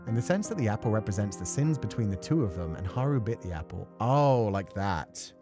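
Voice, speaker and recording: A man's voice: fairly low pitch, expressive, brisk. Man chatting casually over music. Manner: rambling, thoughtful, casually sweary. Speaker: streamer and voice actor who plays visual novels. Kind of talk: casual livestream chat, reading and answering viewer comments.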